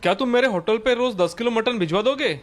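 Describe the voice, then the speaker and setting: A man's voice: extremely high pitch, brisk, voice pushed up. A man voicing a brusque, bargain-minded hotel owner in a story, curt and businesslike.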